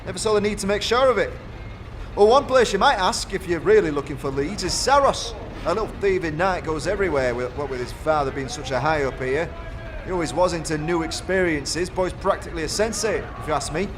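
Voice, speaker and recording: A man voicing a gruff, self-important, defensive foundry foreman with rustic, blustering speech in a fantasy audio drama. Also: fairly high pitch, a little fast, voice pushed up, close to an everyday voice. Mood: evasive, scornful. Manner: rustic dialect grammar, blustering, self-justifying.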